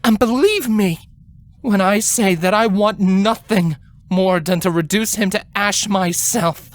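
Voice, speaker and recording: A woman's voice: medium pitch, unhurried, voice pushed up, natural voice. A woman voicing a stern, regal elder alicorn queen, grim and commanding, in a dramatic fantasy story reading. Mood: wrathful, authoritative. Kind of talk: dramatic fan fiction reading.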